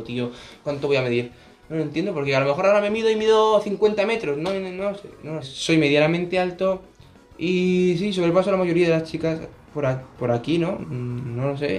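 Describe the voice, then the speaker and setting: Spanish teen guy rambling to cam in his bedroom, jokey asides, talks quick, bit of music behind. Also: high-pitched, darkish tone. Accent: Spanish (Spain). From Spain. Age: teens. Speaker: gaming youtuber. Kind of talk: casual to-camera vlog. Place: bedroom, indoors. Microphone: camera on desk, close framing.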